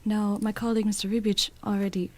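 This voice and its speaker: A woman interpreting a meeting speech simultaneously, relaying it at a measured, steady pace. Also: mid-range voice, a little hiss or hum.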